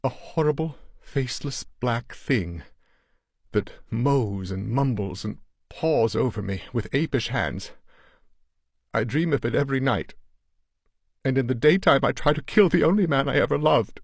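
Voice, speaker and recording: A man's voice: mid-range voice, fairly even intonation, takes it slowly, few pauses, a little mellow, slightly rough, dry recording, pitched above the performer's own voice. A man voicing a hysterical young wife, pleading shrilly and frantically. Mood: haunted.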